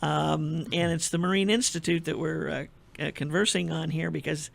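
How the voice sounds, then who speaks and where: Male radio host from the Midwest, rambling and talkative, raised pitch with vocal fry. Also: fairly even intonation, normal speed. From American Midwest. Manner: chatty, rambling questions. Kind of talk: radio interview.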